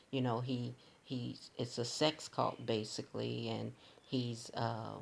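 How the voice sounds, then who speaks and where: A hoarse man with a raspy voice, talking casually to his viewers in a rambling, halting way with many restarts. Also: mid-range voice. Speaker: commentary channel host.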